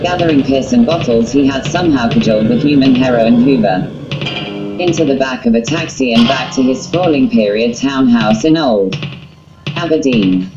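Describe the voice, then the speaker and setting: A woman's voice: a bass voice, lively intonation, moderate pace. A woman reading a wry, wordy literary story in a scripted narration style. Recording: muffled lo-fi, guitar music behind. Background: plucked guitar music.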